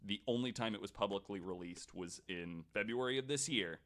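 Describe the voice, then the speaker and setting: A man's voice: on the low side, fairly quick, a bit bright. A man casually telling a researched story on a podcast, in an informal, somewhat hesitant way.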